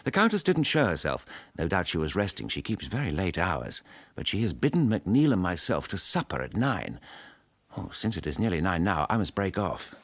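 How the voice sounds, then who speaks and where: Romantic young-spirited English scholar: upper-class, courteous, fussy, lively low voice, period radio drama. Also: male voice, moderate pace, heavily acted. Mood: pleased, confiding. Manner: polite, slightly fussy, donnish. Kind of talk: radio drama acting, letter narration.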